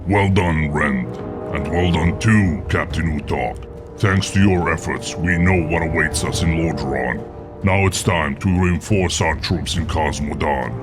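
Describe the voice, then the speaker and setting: An actor playing a stern, threatening orc war chief giving imperious orders in a video game cutscene. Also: male voice, a bass voice, a little slow. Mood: commanding. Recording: music under the voice.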